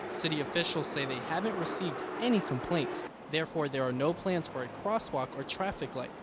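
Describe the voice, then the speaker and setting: A man's voice: a little high, animated, brisk. A man delivering scripted television news reports as a field reporter. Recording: outdoor location sound with traffic noise.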